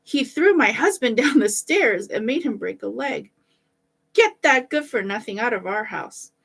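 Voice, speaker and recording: A woman's voice: on the high side, expressive, measured pace, creaky, a bit bright, husky, heavily acted. A woman voicing a fretful, indignant sexton's wife in a fairy tale. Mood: outraged. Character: anxious, outraged wife of a village sexton.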